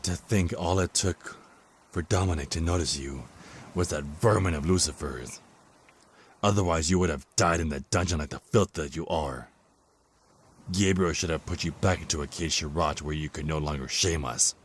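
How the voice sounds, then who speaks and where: A man's voice: extremely low pitch, normal speed, reverberant, slight background noise. A man playing a vengeful, scornful male angel of death in an audio drama, menacing and theatrical. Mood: bitter, contemptuous. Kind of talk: scripted audio drama acting.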